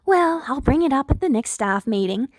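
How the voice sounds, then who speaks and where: A woman's voice: sits high, animated, moderate pace, a little mellow, no room sound, voice pushed up. A woman voicing a cheerful, curious male animal school principal, genial and musing, in a story reading.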